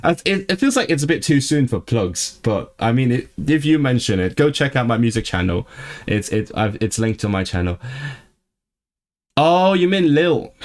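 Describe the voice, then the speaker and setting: A man who is not a native English speaker, hosting a casual livestream and chatting with his viewers as he reads and answers the live chat. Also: mid-range voice. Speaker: livestream host.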